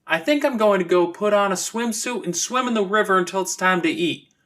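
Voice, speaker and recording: A narrator voicing a loud, confident, showy teenage girl in an audiobook. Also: mid-range voice, lively intonation, a little fast, pitched above the performer's own voice, natural voice. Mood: declaring.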